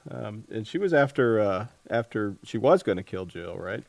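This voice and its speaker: A man with a slightly low voice interviews an author as a podcast host. He talks casually and haltingly, thinking as he goes, and the sound is close and dry. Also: lively intonation, gravelly, dry recording.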